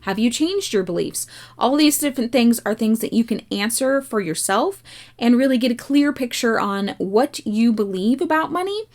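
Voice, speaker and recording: Gentle, slow-spoken woman tarot reader. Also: a little high, animated. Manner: measured, coaxing, repeats pleas. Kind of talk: slow, gentle reading with rhetorical questions.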